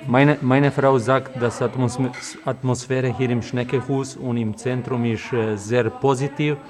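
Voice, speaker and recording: A man speaking slowly and haltingly in an interview. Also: mid-range voice, steady tone, a crowd in the background. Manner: slow, halting.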